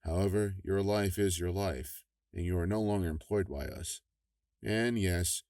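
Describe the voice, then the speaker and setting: Brief: man as a smooth, folksy government official, very low voice, dry studio sound. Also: darkish tone, no room sound. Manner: affable, persuasive, chuckling. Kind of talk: audio drama acting.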